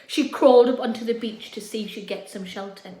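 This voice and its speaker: A woman telling a children's story expressively and dramatically, moving between hushed and louder delivery. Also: fairly high pitch, a little fast. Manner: expressive, swinging from hushed to loud. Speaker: storyteller, primary school staff reading to children. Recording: echoey room.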